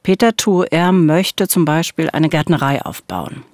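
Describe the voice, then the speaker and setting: A woman's voice: sits low, expressive, a little slow, darkish tone, dry recording. A woman co-hosting a true-crime podcast, telling the story at a close studio microphone. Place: small radio studio.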